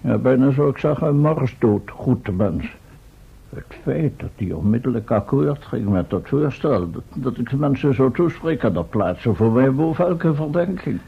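Pompous professor, husky, muffled recording. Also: male voice, mid-range voice, animated, moderate pace, heavily acted. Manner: pompous, declamatory, formal. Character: pompous, indignant, self-important time-travelling male professor. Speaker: actor. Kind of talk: Dutch radio drama acting. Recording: muffled, dull old radio recording.

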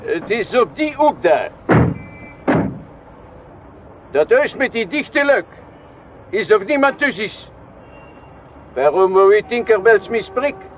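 An actor playing a chatty, grumbling foreign taxi driver in a radio drama, speaking broken sentences with a foreign accent. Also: male voice, high voice, big pitch swings, unhurried. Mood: businesslike haggling. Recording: muffled radio play recording with clear noise.

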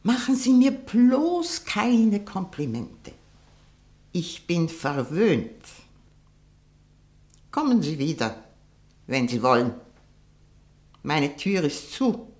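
A woman playing a sharp-tongued, haughty old aunt, frail but proud, in a 1960 German radio play. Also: fairly low pitch, very animated. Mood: mocking, proud. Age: older. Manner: haughty, mocking, deliberate.

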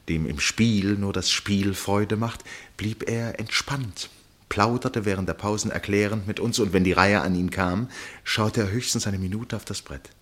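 An actor playing an absorbed first-person narrator in a literary radio play, measured and suspenseful, with deliberate pauses and a husky voice. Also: male voice, deep voice, fairly bright tone. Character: absorbed male first-person narrator watching a tense match.

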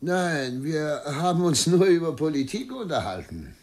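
An actor playing a proud middle-aged governor and family patriarch in a German radio drama, speaking with authority and deliberation. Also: male voice, a little high, sing-song, unhurried, darkish tone. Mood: casual, domestic.

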